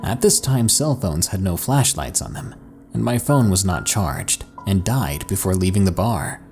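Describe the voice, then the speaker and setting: A man reading first-person horror stories in a slow, hushed, dramatic storytelling manner. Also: low voice, animated. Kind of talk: scripted horror narration. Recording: faint music underneath. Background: soft background music.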